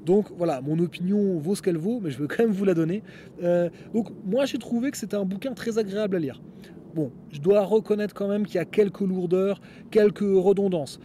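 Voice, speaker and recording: A man's voice: high-pitched, a little fast, dark tone, a little hiss or hum. A man hosting a solo podcast outdoors, talking casually and rambling with many fillers. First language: French. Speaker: podcast host.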